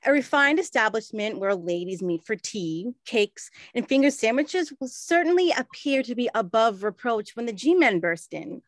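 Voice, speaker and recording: A woman's voice: fairly high pitch, animated, moderate pace, few pauses, no echo, close to an everyday voice. An actress playing a refined, helpful lady of the 1920s, speaking politely and precisely in a period comedy. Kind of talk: period comedy radio-play acting.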